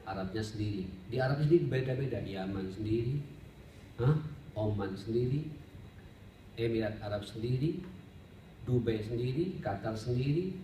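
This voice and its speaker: Roomy, distant male preacher. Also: fairly low pitch. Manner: conversational and didactic, often addresses listeners directly, rhetorical questions. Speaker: Islamic preacher, religious teacher. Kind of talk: sermon, study-circle lecture with Arabic recitation. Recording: roomy, distant mic, faint background noise.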